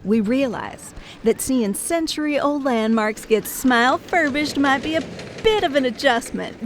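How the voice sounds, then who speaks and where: A woman playing a relentlessly cheery theme park spokesperson, giving a gushing, polished press conference speech. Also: a little high.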